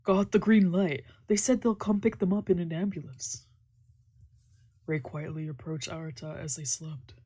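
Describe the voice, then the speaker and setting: A woman's voice: fairly low pitch, steady tone, moderate pace, mellow, slightly rough. A woman streamer reading a visual novel aloud, with playful, slangy asides in casual speech. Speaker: streamer doing a visual novel let's play. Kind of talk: reading game text aloud with casual reactions.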